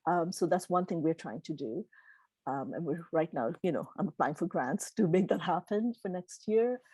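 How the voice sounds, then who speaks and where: A woman's voice: fairly low pitch, lively intonation, moderate pace, warm tone, breathy edge, no room sound, rough recording. A middle-aged woman whose first language is Gujarati, answering questions informally as a keynote speaker in an encouraging, earnest way. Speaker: keynote speaker, literary publisher.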